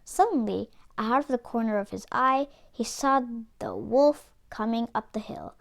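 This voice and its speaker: A woman reading a children's story aloud as an expressive narrator. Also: fairly high pitch, unhurried, creaky. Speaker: children's book reader.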